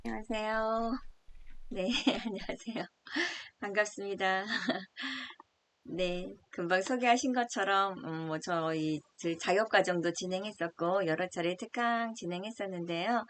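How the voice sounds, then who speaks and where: A woman giving a smartphone lesson over a video call, speaking politely and gently with many soft fillers. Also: medium pitch, slight background noise. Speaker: smartphone instructor. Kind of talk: online video-call lecture.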